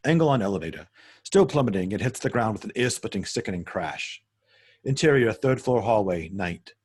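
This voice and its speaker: A man's voice: fairly low pitch, expressive, fairly quick, darkish tone, husky. A man narrating stage directions in a script table read, matter-of-fact and occasionally stumbling over words.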